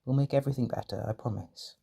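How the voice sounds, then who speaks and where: A man performing a gentle, doting caretaker in an intimate comfort roleplay, speaking softly and reassuringly with tender pet names. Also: mid-range voice, fast, vocal fry, slightly rough. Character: gentle, doting male caretaker soothing an overwhelmed partner.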